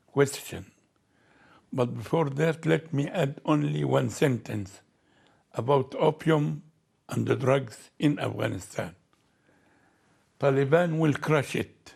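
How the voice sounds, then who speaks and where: A man speaking as a guest in a debate, making his points in a measured, deliberate way. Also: medium pitch, vocal fry, a little mellow, husky. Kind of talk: debate discussion.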